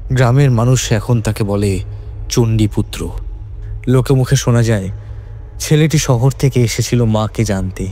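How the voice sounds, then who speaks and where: A man's voice: fairly low pitch, mellow. A man narrating a scripted horror story in a dramatic, suspenseful manner.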